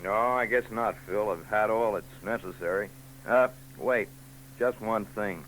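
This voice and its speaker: An actor playing a brisk, matter-of-fact police lieutenant in a 1940s radio drama. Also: male voice, fairly low pitch, a bit bright. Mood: satisfied. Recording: faintly noisy old radio recording.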